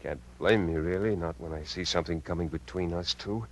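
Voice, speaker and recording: An American actor playing a menacing, bitter, cajoling killer uncle in a 1950s radio drama, with intense delivery. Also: male voice, deep voice, a little fast. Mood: coaxing, uneasy. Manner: intense, swinging from coaxing to contemptuous. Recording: vintage radio recording with hum.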